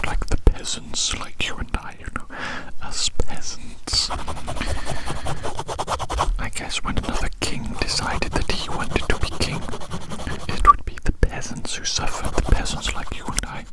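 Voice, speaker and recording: An ASMR performer whispering breathily right up at the microphone, rambling and repeating phrases softly as if confiding in a friend. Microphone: very close to the microphone.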